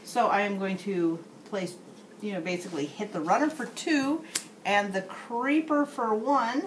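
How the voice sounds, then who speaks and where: A woman's voice: mid-range voice, measured pace, roomy sound, background noise. A middle-aged woman casually narrating her moves in a card game, recorded across a table in a small room. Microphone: handheld camera across the table.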